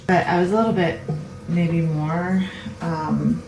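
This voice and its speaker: A woman's voice: on the low side, fairly even intonation, takes it slowly, gravelly, reverberant, distant mic, loud music behind the voice, poor audio. A woman, a mother of two, chatting casually and rambling a bit as she makes coffee in her kitchen. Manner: rambling, chatty, self-deprecating. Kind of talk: casual chat. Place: home kitchen, indoors. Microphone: camera set at a distance.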